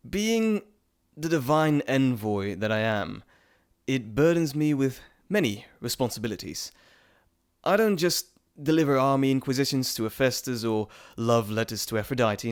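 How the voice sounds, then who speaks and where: A man's voice: mid-range voice, smooth. A man playing a playful, cheeky messenger god in a dramatic roleplay monologue, chatty and theatrical, talking straight to the listener. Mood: grave, explanatory. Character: playful, teasing male Greek messenger god, cheeky trickster.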